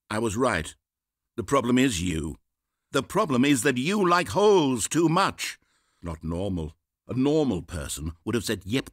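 Snarky game narrator, husky, swoops way up and down, dead dry studio sound. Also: male voice, mid-range voice, no echo, full character voice. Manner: dry, sarcastic, passive-aggressive. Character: sardonic, fussy male game narrator. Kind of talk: scripted game voice-over.

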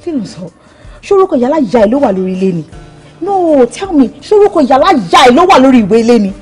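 A woman's voice: sits high, sing-song, rapid-fire, darkish tone, breathy edge, faint music behind, heavily acted. A defiant mother. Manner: defiant and scolding, mixes Yoruba and English. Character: defiant young mother throwing her ex out. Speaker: mother, Kunle's ex-partner. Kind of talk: Nollywood drama acting.

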